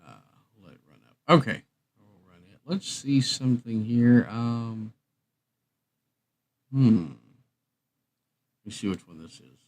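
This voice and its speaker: A man's voice: medium pitch, expressive, takes it slowly, long pauses. A man hosting a casual livestream, rambling and thinking aloud as he works through what to play next. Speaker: livestream host.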